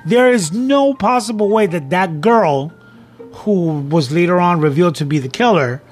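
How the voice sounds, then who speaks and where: Higher-voiced man rambling about films. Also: lively intonation, moderate pace, faint music behind. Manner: rambling, repeats words and phrases. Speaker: host of a film commentary show. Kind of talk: casual film commentary, part read from notes.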